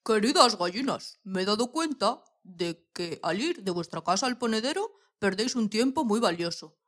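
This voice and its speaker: Lady doing a sweet talking sly farmer guy for a kids tale, really lively, kinda salesy. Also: medium pitch, normal speed, close to an everyday voice. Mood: coaxing. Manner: ingratiating, salesman-like. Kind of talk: children's audio story acting.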